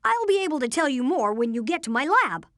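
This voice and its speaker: Video game boy genius: earnest take-charge inventor, high and very lively, explains things precisely. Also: fast talker, dry recording, clean studio sound. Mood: earnest, reassuring. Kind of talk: animated video game voice acting.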